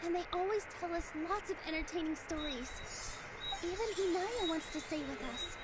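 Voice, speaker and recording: A woman voicing an earnest, gentle young dancer in a video game, speaking in a heartfelt way. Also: very high-pitched, normal speed, heavy background noise. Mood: earnest, pleading. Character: earnest, gentle young female dancer defending her home. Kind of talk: scripted video game voice acting.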